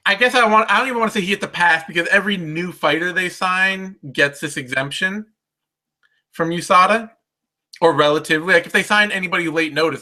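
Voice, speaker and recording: A man's voice: fairly high pitch, sharp tone. A man hosting a casual sports chat in a rambling, self-deprecating way. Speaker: host.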